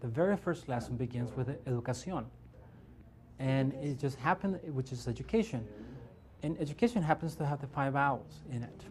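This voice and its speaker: A man's voice: mid-range voice, animated, a little fast, crowd noise. A man, a program developer, explaining his work in an interview with some hesitations.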